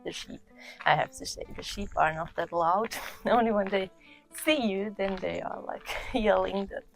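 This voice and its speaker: Woman, relaxed countryside vlogger. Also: medium pitch, vocal fry, soft background music, rough recording. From Finland. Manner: relaxed, thoughtful, pauses to search for words. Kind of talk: casual walk-and-talk vlog. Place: outdoors in the countryside.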